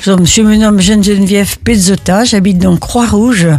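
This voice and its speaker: Older woman from Italy, gravelly and husky, halting interview answers with many restarts. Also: mid-range voice, steady tone, a little mellow, no room sound. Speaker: interviewee, neighborhood volunteer.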